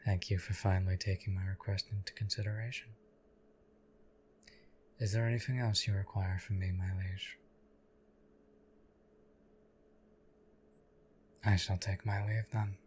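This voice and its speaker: A man playing a stoic, loyal knight in an audio roleplay, speaking formally and deadpan to his liege in measured, courtly phrases. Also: low-pitched, a little hiss or hum, lightly acted. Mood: deadpan concern. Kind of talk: scripted audio roleplay addressed to the listener.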